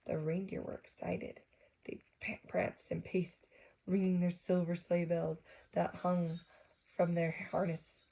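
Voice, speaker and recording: A woman's voice: fairly low pitch, slow, vocal fry, slight background noise, poor audio. A woman reading a children's book aloud expressively, stopping to chat with and question her young listeners. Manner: animated, acts out exclamations, asks listeners questions.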